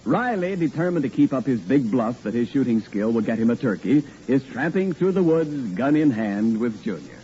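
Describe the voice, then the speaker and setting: A man's voice: medium pitch, sing-song, normal speed, few pauses, mellow. A man announcing on 1940s radio, reading scripted copy in a folksy, emphatic salesman's way. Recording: dull, noisy vintage radio recording.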